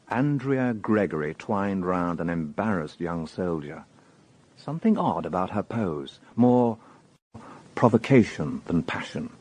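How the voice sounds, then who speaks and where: An actor playing a measured, inquisitive police detective who narrates his case in a radio crime drama. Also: sits low, darkish tone, poor audio.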